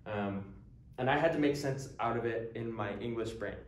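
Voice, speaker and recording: A man's voice: medium pitch, moderate pace, echoey room. A young man in his early twenties from the United States, giving an earnest, casual introduction to a language course with frequent ums and restarts. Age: early 20s. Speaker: language teacher. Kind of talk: casual talking-head introduction.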